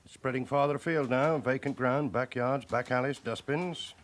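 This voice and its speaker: A man acting a businesslike chief inspector in a radio drama, speaking in a measured way. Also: medium pitch, close to an everyday voice. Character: male senior police chief inspector, steady and businesslike.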